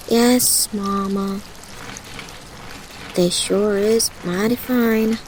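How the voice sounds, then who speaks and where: A woman's voice: medium pitch, fairly even intonation, drawn-out words, low-quality recording. A woman playing a dutiful but cheeky young farm girl with a rustic drawl in an audio drama. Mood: wistful, sighing. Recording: rain and water noise behind.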